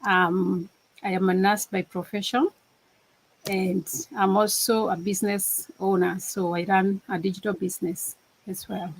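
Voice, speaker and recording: A woman's voice: medium pitch, flat delivery, a little slow, breathy edge, low-quality recording. A woman from Kenya, a nurse, introducing herself plainly on a video call. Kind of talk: casual self-introduction.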